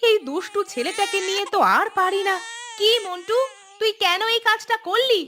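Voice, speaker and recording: A woman's voice: very high voice, steady tone, moderate pace, bright, forceful tone, a little hiss or hum. A woman voicing an exasperated village mother in a cartoon, scolding and complaining about her mischievous children. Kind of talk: Bengali cartoon voice acting.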